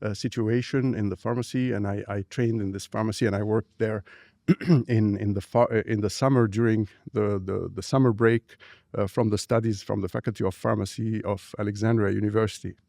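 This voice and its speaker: Egyptian-accented scientist in his fifties, low gravelly voice, halting delivery with fillers, dry studio sound. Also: fairly even intonation, a little mellow, no room sound. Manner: hesitant, many fillers and restarts. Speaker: scientist guest. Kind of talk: interview conversation. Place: dark studio. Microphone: boom microphone on arm.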